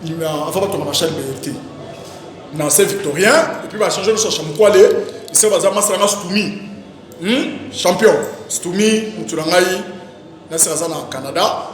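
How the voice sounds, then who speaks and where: A man's voice: high-pitched, normal speed. Echoey Congolese man recounting in a rambling way. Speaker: stage actor being interviewed. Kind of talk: casual interview, recounting career. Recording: very echoey reverberant room, music behind. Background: music.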